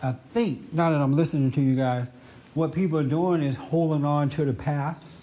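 A man's voice: a little high, normal speed, warm tone. A man hosting an unscripted, opinionated group discussion. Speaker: host leading a group discussion.

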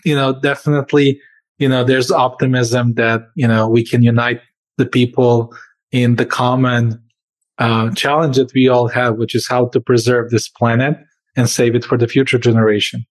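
A man's voice: medium pitch, little pitch movement, normal speed. A man who grew up in Serbia answers interview questions as a company founder, speaking earnestly with frequent fillers and shifts in pace.

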